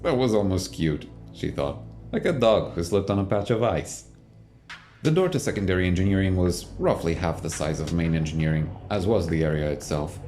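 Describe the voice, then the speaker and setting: A man's voice: low-pitched, animated, a little fast, dark tone. A man narrating a scripted science fiction audio drama as its storyteller. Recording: music bed under the voice.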